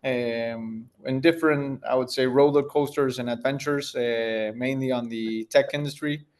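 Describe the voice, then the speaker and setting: A man's voice: medium pitch, steady tone, measured pace, a little mellow. A man, answering interview questions as a tech founder in a thoughtful way, searching for words as he goes. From Argentina. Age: 30s.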